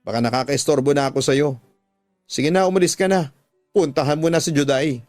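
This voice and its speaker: A betrayed wife in a radio drama, acted by a man, bitter and wounded, pressing accusations with heavy emphasis. The pitch sits fairly high, the sound is dry, and music plays underneath. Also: fairly quick, a little mellow, no echo, lightly acted. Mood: restrained, bitter. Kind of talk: Tagalog radio drama acting.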